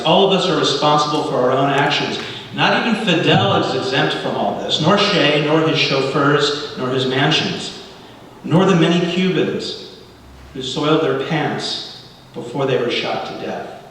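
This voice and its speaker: Casting: middle-aged man from Cuba, native Spanish speaker, earnest deliberate public speaker, heard from afar in a very reverberant hall. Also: on the high side, lively intonation, fairly bright tone. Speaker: guest speaker leading a congregational service. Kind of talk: sermon-like public address with readings. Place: large reverberant indoor hall, speaking to a congregation. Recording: very echoey hall, distant mic, light noise.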